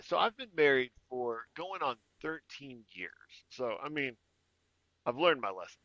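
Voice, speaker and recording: A man co-hosting a music reaction show, chatting casually with an emphatic, halting delivery. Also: medium pitch, very animated, bright, forceful tone, no echo, dull audio.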